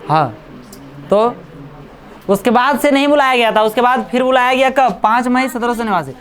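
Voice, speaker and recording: A woman's voice: fairly high pitch, lively intonation, normal speed, slight background noise. Hindi woman teacher. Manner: keeps checking understanding, repeats dates, teasing asides. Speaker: coaching class teacher. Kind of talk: classroom lecture with questions to students. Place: classroom.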